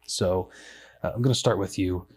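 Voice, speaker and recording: A man in his late twenties hosting a casual worship podcast, upbeat and joking, asking questions and giving advice. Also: low voice. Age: 20s. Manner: upbeat, joking, quick asides. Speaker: podcast host, worship leader.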